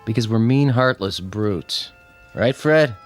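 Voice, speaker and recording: An actor in a radio drama playing a mean bully, taunting and sneering. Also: male voice, fairly low pitch, animated, slow pace, soft background music. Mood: mocking. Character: mean, mocking male bully.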